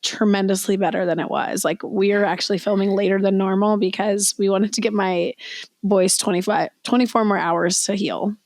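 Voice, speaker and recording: A young woman co-hosting a podcast, hoarse and raspy from losing her voice, chatting casually with lots of "like" fillers. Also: medium pitch, steady tone, moderate pace, darkish tone, dry recording. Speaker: podcast co-host. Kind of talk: casual chat.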